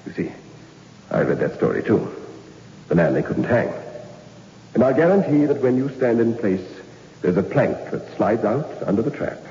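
An actor playing a stern major who questions suspects in a commanding way, in 1960s radio drama style. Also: male voice, medium pitch, big pitch swings, normal speed, long pauses, dark tone. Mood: accusing. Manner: commanding, interrogating. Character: stern, probing male major investigating a murder. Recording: dull old radio recording, roomy echo.

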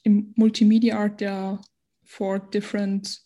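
A woman's voice: medium pitch, flat delivery, moderate pace, warm tone. A woman in her twenties from Austria, speaking English as a non-native language as an interview guest, hesitant and searching for words. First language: not English. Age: 20s. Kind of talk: casual interview conversation.

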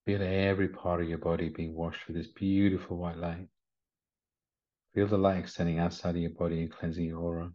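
A man leading a guided meditation in a gentle, soothing manner, with short unhurried instructions. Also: low voice, flat delivery. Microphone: video call. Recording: slightly muffled video call audio.

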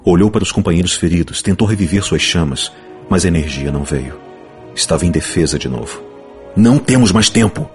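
A man's voice: low-pitched, fairly even intonation, fast, darkish tone. A man narrating a fantasy novel in a dramatic, scripted audiobook style. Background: music.

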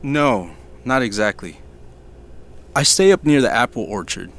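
An actor playing a quiet farmhand who keeps watch near the orchard, speaking softly and hesitantly in an audio drama. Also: male voice, medium pitch, lively intonation, measured pace.

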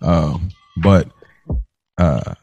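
Deep-voiced American man in casual podcast talk. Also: animated, drawn-out words, vocal fry, darkish tone, husky, no room sound, soft background music. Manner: informal, slangy, addresses partner as bro and man. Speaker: podcast co-host. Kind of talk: casual chat.

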